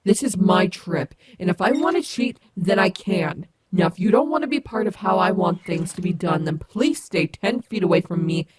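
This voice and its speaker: A woman's voice: lowish voice, animated, normal speed, hardly any pauses, natural voice. A woman playing a cocky, protective female animatronic wolf in an audio roleplay, confident, sassy and doting on the listener. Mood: bossy, irritated. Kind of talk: fan audio roleplay acting, addressing the listener.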